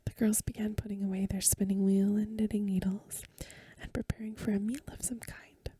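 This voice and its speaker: A woman reads a story aloud in a hushed, breathy, mostly whispered ASMR style, unhurried and soothing, close to the microphone. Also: mid-range voice, flat delivery, dry recording. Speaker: ASMR reader and host. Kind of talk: soft-spoken ASMR reading of a Victorian story.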